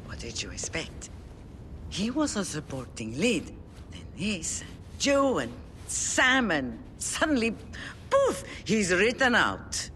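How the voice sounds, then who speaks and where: A woman's voice: mid-range voice, huge pitch swings, slow, noisy background. An actress playing an overwrought, fiercely loyal woman in a video game cutscene, theatrical and scolding. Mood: bitter. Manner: theatrical, exasperated, bursts of emphasis.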